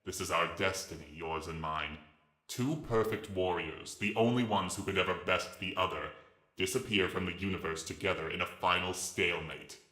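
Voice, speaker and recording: A man voicing a huge old scaly pterosaur monster in a dramatic reading, raspy and ragged, with slow, mocking, grandiose menace. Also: deep voice, sharp tone, roomy sound, low-quality recording. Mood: somber, menacing. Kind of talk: dramatic reading of fan fiction.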